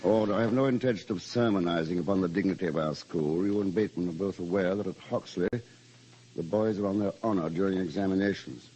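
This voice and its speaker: An actor playing an anxious, stern English boarding school housemaster, with a British accent and formal, measured wording, in old radio drama style. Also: male voice, low voice, dark tone, a little hiss or hum, natural voice, rough recording. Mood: stern, probing. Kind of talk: old-time radio drama acting and first-person narration.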